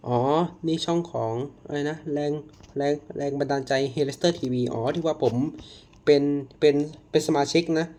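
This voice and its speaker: A man giving casual reaction commentary, halting and often repeating his words. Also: fairly high pitch. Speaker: reaction video host. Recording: loud music behind the voice.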